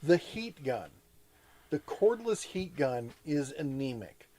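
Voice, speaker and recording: A man's voice: on the high side, big pitch swings, takes it slowly, warm tone. A man hosting a casual live chat, rambling with frequent restarts and plenty of enthusiasm. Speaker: host.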